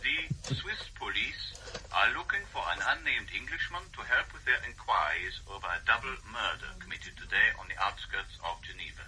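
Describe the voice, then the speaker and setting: An actor playing a formal newsreader giving a measured Cold War radio bulletin in a drama. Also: male voice, fairly low pitch, expressive, sharp tone, a little hiss or hum, low-quality recording. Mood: formal, neutral.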